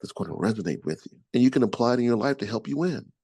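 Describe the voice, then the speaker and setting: A man's voice: medium pitch, expressive, rapid-fire, mellow, husky, dry recording. A middle-aged man with a rich, soothing voice, talking expansively about spiritual self-help as an interview guest. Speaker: author and podcast guest. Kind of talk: casual interview chat.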